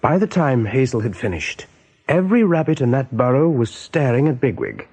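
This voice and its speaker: A man narrating a radio play in a measured, literary storytelling manner. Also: mid-range voice, lively intonation, darkish tone, slightly rough.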